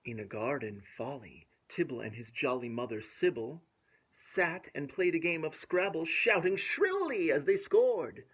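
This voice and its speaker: A man with a fairly high, slightly husky voice reads aloud as a children's storyteller. He delivers rhyming picture-book text playfully and expressively, leaning dramatically on the sounds of the words for his young listeners. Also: very animated, measured pace, fairly bright tone.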